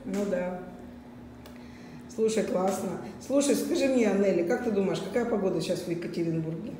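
A woman's voice: medium pitch, normal speed, mellow. A middle-aged woman with a Russian accent chatting casually and asking questions, recorded in a very echoey kitchen-dining room. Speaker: vlogger interviewing a child. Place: hard-surfaced open kitchen-dining room. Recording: very echoey room, distant, noisy.

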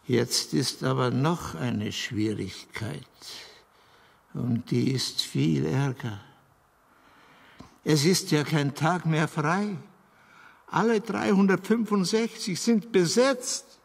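Fairy-tale Moon for radio drama: gravelly, fairly high, patient and explanatory. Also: male voice, heavily acted. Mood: patient, helpful. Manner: deliberate, explanatory, with weighty pauses.

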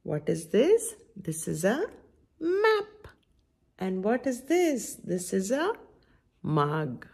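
Middle aged lady doing storytime for little kids, super animated, bit of creaky fry, asks them stuff. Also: mid-range voice, drawn-out words. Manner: expressive, questioning, addressing children directly. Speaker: children's story time host. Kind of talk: read-aloud picture book storytime for young children. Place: indoor room at home by a window.

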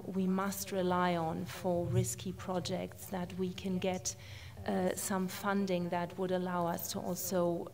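Slow, even-toned woman panelist. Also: fairly low pitch. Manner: hesitant, many fillers. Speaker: panelist from a development charity. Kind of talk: panel discussion answer.

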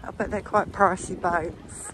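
A woman's voice: on the low side, steady tone, measured pace, a bit bright. Chatty British woman vlogging outdoors, noisy background. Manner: chatty, addressing viewers. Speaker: vlog host. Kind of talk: casual walking-tour chat. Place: outdoors, walking along a marina promenade. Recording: clear outdoor ambient noise. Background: outdoor seafront ambience.

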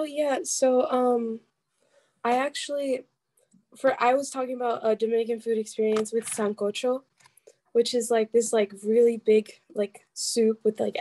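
A woman's voice: a little high, darkish tone. A young woman in college telling a rambling story on a video call, with many "like"s. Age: young adult. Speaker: college student participant. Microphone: headphones and laptop webcam on a video call.

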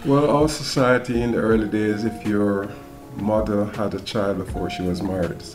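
A man, a guest in a home-kitchen interview, speaking slowly and softly in a measured way. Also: fairly low pitch, steady tone, faint music behind. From Jamaica. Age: 70s. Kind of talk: interview conversation. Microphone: desk mic on a boom arm.

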